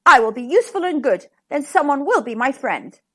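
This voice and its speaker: An earnest, sensitive he-wolf from a storybook, as a woman reader puts him on. He talks theatrically, with a high, very animated delivery, eager to be liked. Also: fairly quick. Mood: hopeful. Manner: polite, theatrical. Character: earnest, sensitive male wolf wanting friends.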